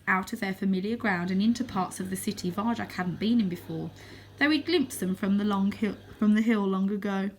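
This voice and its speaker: A woman narrating a children's book aloud as a storyteller, with expressive delivery. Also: medium pitch, slight background noise. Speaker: storybook reader.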